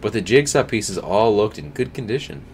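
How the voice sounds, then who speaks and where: Deep-voiced young man reading aloud. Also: expressive, normal speed, slight background noise. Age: young adult. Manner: reads with slips and restarts, drops to quiet asides. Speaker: streamer reading a story. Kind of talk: reading a scary story aloud with comments. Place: home streaming room, indoors.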